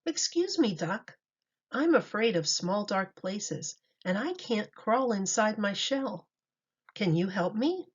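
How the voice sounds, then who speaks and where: A woman's voice: mid-range voice, very animated, slow, breathy edge, full character voice. A woman voicing a timid, polite young boy turtle in a children's storybook, speaking earnestly. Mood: polite, pleading. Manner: polite, pleading. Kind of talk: children's storybook read-aloud. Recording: dull lo-fi recording.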